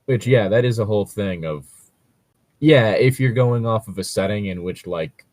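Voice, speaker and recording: A man co-hosting a casual commentary chat about gaming stories, talking in a rambling, halting way. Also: lowish voice, a little mellow, dry recording. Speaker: co-host reading and commenting on tabletop gaming stories.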